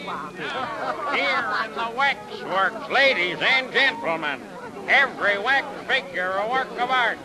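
An actor playing a showy fairground barker calling out singsong patter in a 1930s radio drama. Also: male voice, extremely high pitch, lively intonation, takes it slowly, pauses often, loud music behind the voice, full character voice. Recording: old radio broadcast with crowd behind.

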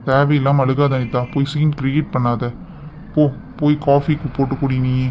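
A man's voice: mid-range voice, level tone, moderate pace, close to an everyday voice. A young man acting a stern, disappointed husband who reproves his wife in a family melodrama. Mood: stern, reproving. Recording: dull sound with faint background noise.

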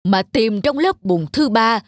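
A woman's voice: fairly high pitch, lively intonation, a little slow, no echo, clean studio sound. A woman voicing a boastful forest demon in a folk-tale cartoon, gossipy and scornful. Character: boastful male forest demons trading secrets. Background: background music.

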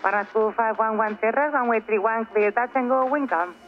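A woman air traffic controller with a Spanish accent, giving brisk instructions over an aviation radio. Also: on the high side, muffled recording. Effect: aviation radio transmission.

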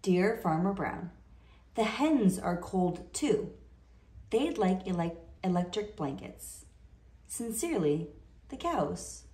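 Woman as slow-talking, stubborn storybook cows. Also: lowish voice, big pitch swings, dark tone. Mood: formal bargaining. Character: polite but stubborn typing farm cows. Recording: roomy indoor echo.

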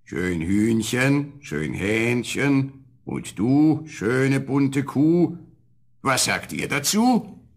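An actor playing an ancient, kindly bearded hermit in a fairy-tale radio play, with a rough voice and drawn-out singsong delivery. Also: male voice, medium pitch, big pitch swings, heavily acted. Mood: deliberate. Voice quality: rough, gruff. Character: ancient grey bearded hermit man, gruff but kindly.

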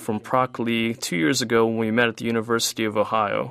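Man reading host questions quickly. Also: medium pitch, vocal fry, clean tone. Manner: steady, scripted. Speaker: news host, English voice-over. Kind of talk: read interview questions and voice-over.

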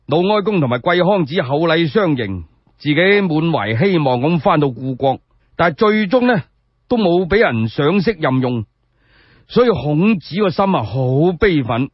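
Male Cantonese radio storyteller, higher-pitched and colloquial, very lively delivery, slightly muffled recording. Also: moderate pace, no room sound. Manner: colloquial, dramatic. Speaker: solo storyteller. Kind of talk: animated oral storytelling of historical tales for radio.

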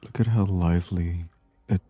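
A man giving soft-spoken ASMR gameplay commentary in a hushed, unhurried murmur, at times near a whisper. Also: deep voice, steady tone, slightly rough, no room sound. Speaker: gaming video host.

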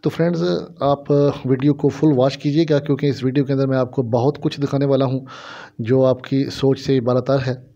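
A man's voice: medium pitch, little pitch movement, brisk, mellow. A man with a Pakistani accent narrates a casual shopping vlog, explaining things repetitively to viewers into a handheld phone in a small shop. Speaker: vlogger showing shop goods. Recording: dull, lo-fi phone camera audio.

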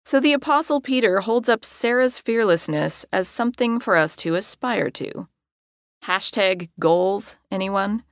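A woman's voice: mid-range voice, animated, a little slow, dry recording, clean studio sound. A woman narrating her own nonfiction audiobook in a wry, conversational way.

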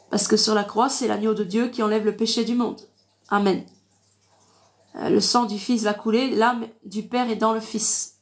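A woman with a congested, blocked-nose voice giving informal Bible teaching on a livestream, didactic and repetitive, punctuating points with amens. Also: medium pitch. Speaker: online preacher. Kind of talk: informal live Bible teaching with verse reading.

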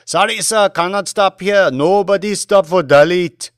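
High-pitched, deferential Indian chauffeur. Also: male voice, measured pace, clear, resonant tone, no echo, clean studio sound. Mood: insistent. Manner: deferential, insistent, non-native grammar. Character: chatty Indian chauffeur, proud, deferential, callous toward beggars.

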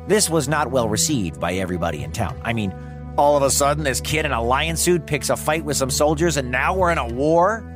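A man telling a scripted story for children in a conversational, dramatic way with wry, casual asides. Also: a little high. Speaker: storyteller, podcast host.

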